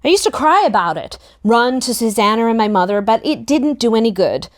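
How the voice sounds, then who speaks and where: A woman narrating a first-person story in an expressive, youthful storytelling manner. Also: a little high, a little fast. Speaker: narrator in a book table read.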